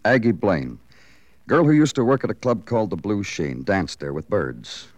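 An actor playing a terse, world-weary American police detective who also narrates in clipped 1950s radio noir style. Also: male voice, on the low side, big pitch swings, moderate pace, no room sound. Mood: businesslike. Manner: clipped, staccato, hard-boiled.

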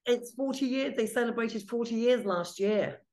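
A woman's voice: fairly high pitch, normal speed, darkish tone. A middle-aged woman from England with a British accent, Italian as her first language, chatting as a podcast guest and telling stories with light joking asides. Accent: British English. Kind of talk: casual interview chat.

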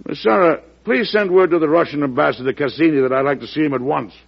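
An actor playing a forceful, shrewd American president in an old radio drama, frank and emphatic, with an American accent. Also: male voice, a little high, quick pace, creaky. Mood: wry. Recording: muffled vintage radio broadcast recording.

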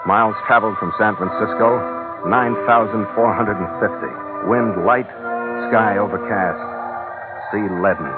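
Casting: male actor as wry, tired sea skipper for vintage radio adventure; thin band-limited sound, loud music underneath. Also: lowish voice, moderate pace, phone-quality sound, close to an everyday voice. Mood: formal, subdued. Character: weary, wry male ship's captain and storyteller. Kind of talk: 1940s radio adventure acting and first-person narration.

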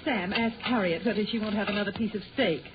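A woman's voice: medium pitch, fast talker, lightly acted. Polite middle-aged mother, played by a woman. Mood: hospitable. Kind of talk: 1940s radio sitcom acting. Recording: muffled 1940 radio recording.

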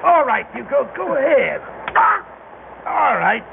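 A man's voice: very high voice, big pitch swings, slow pace, creaky, heavily acted. An actor playing a ruthless crime boss in a classic radio drama, with clipped, commanding tough-guy talk that turns nervous under pressure. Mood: menacing, excited. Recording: tinny, noisy old radio broadcast.

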